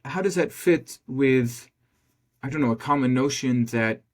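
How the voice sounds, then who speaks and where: A man's voice: mid-range voice, unhurried. A man interviewing in a conversational way, tentatively hedging and thinking his questions through aloud.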